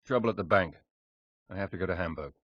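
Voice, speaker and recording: A man's voice: low-pitched, quick pace, no echo, lo-fi sound. A man playing a suave secret agent in a video game cutscene, with clipped, cool, understated delivery. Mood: brisk.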